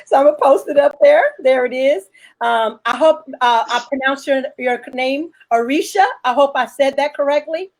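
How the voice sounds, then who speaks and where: Female host, independent filmmaker, fairly high voice, plainspoken and confident with viewers. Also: moderate pace. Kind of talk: panel chat, answering viewer questions.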